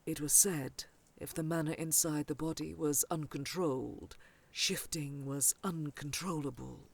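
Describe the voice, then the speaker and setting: Woman acting a measured, caring fantasy aunt. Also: sits low, steady tone, gravelly, husky, heavily acted. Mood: solemn. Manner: measured, formal, solemn. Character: caring, authoritative older scholarly aunt of a princess. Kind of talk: audio roleplay drama acting.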